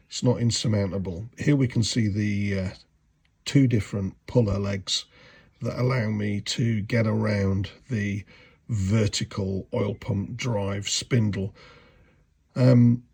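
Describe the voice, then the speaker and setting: A middle-aged man with a congested, cold-affected voice, talking through a hands-on job in a halting, explanatory way. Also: fairly low pitch, a little mellow, husky. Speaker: hobbyist mechanic narrating a video. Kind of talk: casual how-to narration. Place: home garage.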